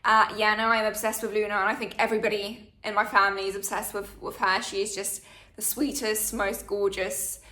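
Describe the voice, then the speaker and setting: Bright-voiced woman in her twenties. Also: mid-range voice, flat delivery, fairly quick, roomy sound. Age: 20s. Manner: chatty, many fillers. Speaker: vlogger. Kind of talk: casual Q&A chat.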